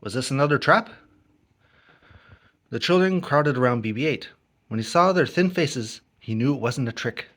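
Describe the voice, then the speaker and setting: A man narrating a children's story as an expressive read-along. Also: mid-range voice, moderate pace, pauses often. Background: music and sound effects.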